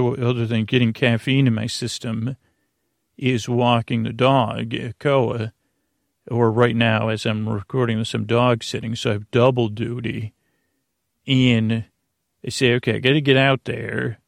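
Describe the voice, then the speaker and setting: A man with a creaky, mid-pitched voice hosts a bedtime podcast. He meanders through his monologue, doubling back and stumbling into fresh starts. The recording is very dry and close, with no room sound. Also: dry recording. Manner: meandering, halting, self-interrupting with frequent stammered restarts. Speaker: podcast host.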